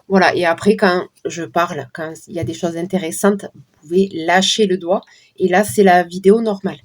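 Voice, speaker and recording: Hoarse woman reading cards aloud. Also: medium pitch, darkish tone. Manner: chatty, talks to viewers while shuffling cards. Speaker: card reader. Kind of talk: casual card-reading livestream talk.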